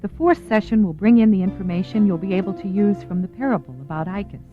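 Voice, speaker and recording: A woman's voice: medium pitch, fast, faint music behind. A woman reading scripted promotional narration as the announcer of a recorded program. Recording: tinny, echoey, band-limited audio.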